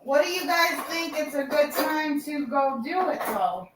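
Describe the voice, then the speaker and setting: A woman hosting a casual livestream chat, speaking haltingly with many fillers. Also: sits high, expressive, noisy background. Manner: slow, halting, full of ums and uhs. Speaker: livestream host.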